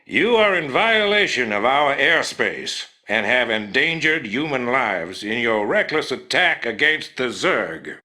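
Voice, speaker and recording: An actor playing a proud, hard-nosed military general in a video game, pompous and commanding. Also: male voice, mid-range voice, very animated, measured pace, hardly any pauses, sharp tone, exaggerated voice. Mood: threatening, officious. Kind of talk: scripted video game acting.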